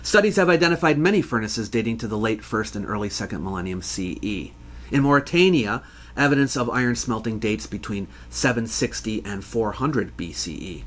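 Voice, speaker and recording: Measured male educational narrator. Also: on the low side, lively intonation. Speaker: host answering viewer questions.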